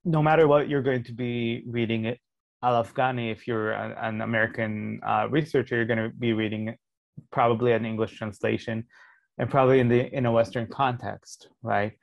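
A man, a Hebrew speaker, answering interview questions as a sociologist in a measured, explanatory way, thinking aloud as he goes. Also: medium pitch, fairly even intonation, vocal fry, no echo, muffled recording. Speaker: sociologist guest.

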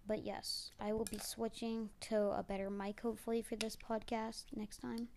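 Mellow-voiced kid podcaster. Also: mid-range voice, level tone, normal speed, vocal fry, slight background noise. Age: child or young teen. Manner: hesitant, self-deprecating. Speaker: amateur podcast host. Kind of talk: casual rambling solo podcast talk. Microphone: amateur mic, trying blanket as sound booth.

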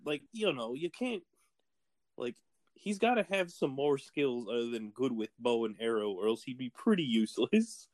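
A man's voice: medium pitch, expressive, moderate pace, a little mellow, dry recording. A man with a Texan accent in casual chat as a podcast co-host, laughing easily and hedging his points with frequent fillers. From Texas. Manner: laughs easily, hesitant, lots of "like".

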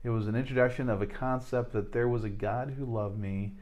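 A man's voice: medium pitch, steady tone, darkish tone, muffled recording. A man in his forties, a guest in a casual interview, speaking earnestly with frequent restarts and fillers. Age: 40s. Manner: earnest, halting, many restarts.

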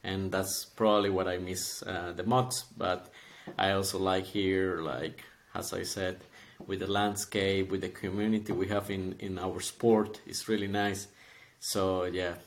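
A man's voice: low voice, level tone, a little slow. A man in his twenties from Costa Rica with a Costa Rican accent, chatting in a friendly way as a podcast guest who laughs often. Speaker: podcast guest, calisthenics athlete. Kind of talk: casual interview.

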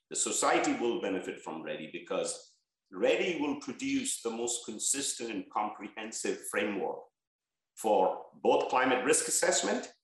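Man from Bangladesh, research leader, earnest and listing, lowish voice. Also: heavy reverb, rough recording. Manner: earnest, stresses key words, lists points. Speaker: head of energy research, interview guest. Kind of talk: interview answers.

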